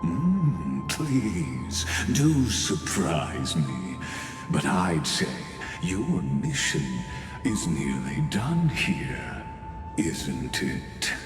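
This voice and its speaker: An actor playing an ancient imprisoned godlike villain in a video game, calm and smug, taunting theatrically with drawn-out pauses. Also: male voice, sits low, heavily acted. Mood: mocking, probing. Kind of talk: dramatic video game voice acting. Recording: echoing hall reverb, music underneath. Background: music.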